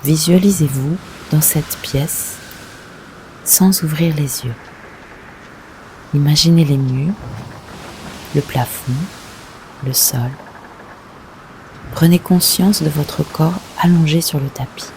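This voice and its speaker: A woman leading a guided yoga nidra meditation, giving gentle, unhurried instructions with long pauses. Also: deep voice, a little hiss or hum, poor audio.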